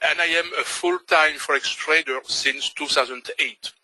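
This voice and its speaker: An older man with a Belgian French accent speaks in a forceful, tense tone with a husky edge. He presents a webinar slowly and haltingly, still searching for words in English. The sound is dry and close, picked up by a computer microphone. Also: fairly high pitch, steady tone, no room sound, poor audio. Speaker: trading instructor.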